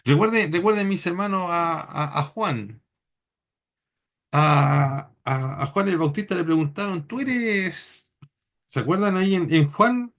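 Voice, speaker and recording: A man from Chile, preaching a sermon in church in an earnest, halting way with many repeated words. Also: high voice, a bit bright.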